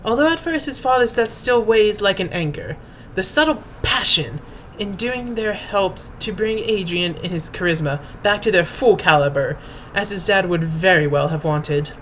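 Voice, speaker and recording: A woman's voice: medium pitch, expressive, moderate pace, few pauses, a little hiss or hum, poor audio. Pompous woman giving a theatrical reading. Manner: pompous, mock-grand, formal delivery. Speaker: host and reader. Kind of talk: theatrical dramatic reading of fan fiction.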